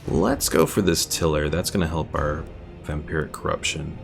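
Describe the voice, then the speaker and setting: A man's voice: extremely low pitch, fairly even intonation, normal speed, vocal fry. A man streaming a video game, giving casual, laid-back, chatty commentary as he plays. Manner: laid-back, chatty, reading chat aloud. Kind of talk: casual live gameplay commentary. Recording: game music faintly behind. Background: video game music.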